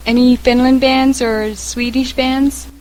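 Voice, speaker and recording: Upbeat woman interviewer, a little high, over faint background noise. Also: flat delivery, takes it slowly, darkish tone, low-quality recording. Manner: encouraging, upbeat. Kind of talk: interview questions.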